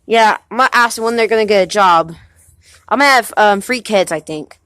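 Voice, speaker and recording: A young man playing a halting nineteen-year-old husband. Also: high voice, sharp tone, clear, resonant tone, no room sound, studio quality. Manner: hesitant, halting, many restarts. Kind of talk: amateur home movie acting.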